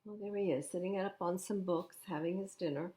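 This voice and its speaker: A woman reading a picture book aloud to children at storytime, expressively, pausing to explain the pictures in simple words. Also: lowish voice, mellow. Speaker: library storytime reader.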